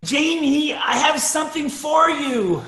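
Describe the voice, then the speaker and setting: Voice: high voice, animated, slow, fairly bright tone, exaggerated voice. An adult performer voicing a nerdy, friendless schoolboy in an earnest, awkward, self-deprecating way for a sitcom table read. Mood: calling out.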